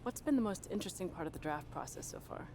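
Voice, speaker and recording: Woman, brisk delivery, direct sports reporter played by an actress in a game, slight background noise. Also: on the low side, expressive, natural voice. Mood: probing. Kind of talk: press questioning in game cutscene.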